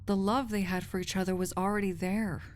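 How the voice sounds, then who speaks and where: A woman playing a lovestruck research assistant in an intimate romantic audio roleplay, tender and wry, with soft, lingering phrasing. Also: medium pitch, steady tone, fairly quick, slight background noise, close to an everyday voice. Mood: tender, reflective.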